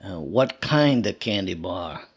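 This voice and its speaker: A man's voice: low voice, lively intonation, unhurried, highs cut off, slight background noise. A man playing a furtive, sly racetrack tout in old-time radio comedy style, confidential, clipped and streetwise.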